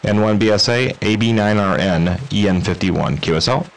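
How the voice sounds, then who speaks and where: Give me a deep-voiced man casually presenting radio software, with hiss behind him. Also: normal speed, vocal fry. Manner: spells call signs in phonetic alphabet. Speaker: presenter demonstrating his radio logging software. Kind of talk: casual software demo with ham radio contacts. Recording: hissy recording with steady noise.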